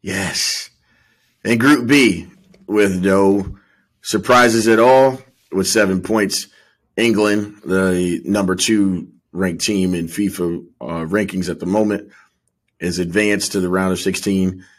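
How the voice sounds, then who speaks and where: A man hosting a casual sports discussion, speaking in a measured way with wry teasing. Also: low-pitched, fairly even intonation. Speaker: sports podcast host.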